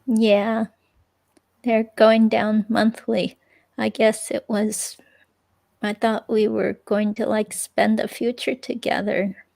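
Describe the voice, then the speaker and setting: Woman reading as forlorn, hesitant young ex-girlfriend, creaky voice. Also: a little high, level tone, takes it slowly, darkish tone, no room sound. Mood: forlorn, wistful. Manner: hesitant, rambling, trailing off. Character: forlorn young woman, murder suspect's ex-girlfriend, in police interview. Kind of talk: dramatic reading of an interview transcript.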